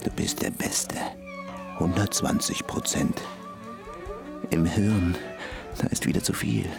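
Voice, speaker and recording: A man's voice: low-pitched, huge pitch swings, takes it slowly, heavily acted. An actor playing an amorous, wild half-ape young man who murmurs and teases, in a radio drama with music behind. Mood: brooding. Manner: breathy sniffing, murmured teasing, sudden squeals.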